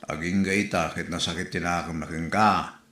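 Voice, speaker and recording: A man's voice: low voice, steady tone, moderate pace, bright, forceful tone. A man playing a disapproving, grumbling relative in an Ilocano comedy drama, with an Ilocano accent. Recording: roomy echo, faint music.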